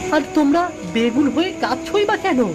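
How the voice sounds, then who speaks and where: Fearless nine-year-old cartoon child, woman acting, high and lively, insistently curious. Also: normal speed. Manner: insistent, eager questioning. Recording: music behind the voice.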